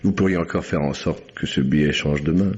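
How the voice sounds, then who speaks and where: An actor playing a suave, sly English gentleman in a French radio mystery, speaking with hesitant, drawling, ironic politeness. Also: a bass voice, fairly even intonation, a little fast, slight background noise. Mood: sly, coaxing. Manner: urbane, hesitant, drawling politeness with wry irony. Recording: dull, muffled old radio recording.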